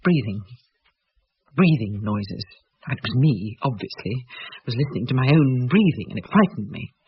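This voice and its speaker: An actress playing a frightened, sharp-tongued rationalist woman in a radio horror drama, emphatic and defensive. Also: very deep voice, animated, moderate pace, husky, exaggerated voice. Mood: shaken. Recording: muffled, noisy old radio recording.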